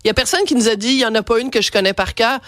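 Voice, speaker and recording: A woman's voice: a little high, rapid-fire, a bit bright, no room sound. A woman radio host with a Quebec French accent, chatty and playful, with a cold, interviewing a guest in the studio. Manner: chatty, playful, laughs often. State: has a cold.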